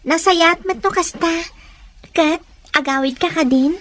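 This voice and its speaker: A woman's voice: very high-pitched, a little slow, a bit bright. A woman voicing a young college student who talks politely and cheerfully with her father and friends. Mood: friendly.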